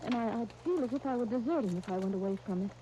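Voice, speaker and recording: An actress playing a gentle, haunted young wife, tender and restrained, in an old-time radio drama. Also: female voice, on the high side, expressive, very fast, a little hiss or hum, lightly acted, low-quality recording. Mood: sad, reluctant. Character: gentle, haunted young wife Molly, sorrowful and self-denying.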